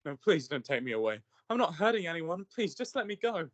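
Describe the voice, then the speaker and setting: A man's voice: fairly high pitch, very animated, a little fast. A frightened young paper ball, voiced by a man in a raised voice that swings up and down as he pleads. He sounds anxious and small, from a homemade short film. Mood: pleading.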